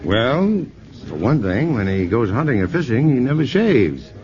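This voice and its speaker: An actor playing a shy, self-deprecating scientist in a 1950s radio drama. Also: male voice, mid-range voice, sing-song, normal speed, darkish tone, natural voice. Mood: modest, easygoing. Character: modest, bashful male physicist. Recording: muffled vintage radio broadcast.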